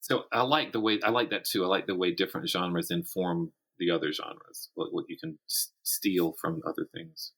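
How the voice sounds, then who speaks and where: A man's voice: deep voice, fairly even intonation, moderate pace, hardly any pauses, fairly bright tone. A man giving a conversational interview as a guest writer, explaining his craft and thinking aloud as he goes. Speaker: guest author and audio drama writer.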